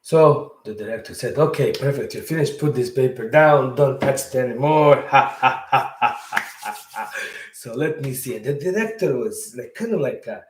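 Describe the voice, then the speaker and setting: A man's voice: medium pitch, animated, normal speed, echoey room, distant mic, slight background noise. A man from Bosnia whose first language is Serbo-Croatian, telling a story at home in a rambling, casual way, correcting himself and chuckling as he goes. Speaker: podcast host. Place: room at home.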